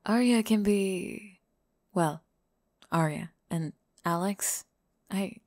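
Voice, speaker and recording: A woman playing a gentle, earnest singer-songwriter in an ASMR audio drama, speaking softly and hesitantly, often breathy. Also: medium pitch, takes it slowly, no echo, clean studio sound, lightly acted. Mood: wistful, apologetic.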